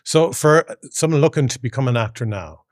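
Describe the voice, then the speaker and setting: A man's voice: medium pitch, animated, brisk, no room sound. Male interviewer, mid-range voice, close dry sound, conversational podcast hosting.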